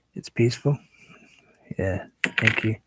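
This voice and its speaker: A man hosting a painting livestream, chatting casually and relaxed with viewers, sometimes dropping to a soft whisper as he works. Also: lowish voice, very noisy. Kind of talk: casual chat while painting.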